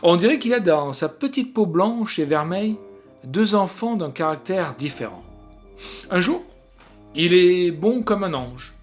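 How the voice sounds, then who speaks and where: Expressive male literary narrator over music. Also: high-pitched. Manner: theatrical, expressive, with deliberate phrasing. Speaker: narrator, reader of a short story.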